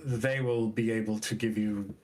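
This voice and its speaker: A man voicing a courteous female firbolg camp leader in a tabletop game, measured, formal and gracious. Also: on the low side, steady tone, close to an everyday voice. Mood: grateful, measured.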